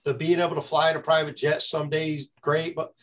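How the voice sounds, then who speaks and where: A man's voice: a little high, level tone, fast talker, fairly bright tone. A man hosting a podcast, talking in a conversational way with frequent stammered restarts. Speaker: podcast host. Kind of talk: casual podcast interview.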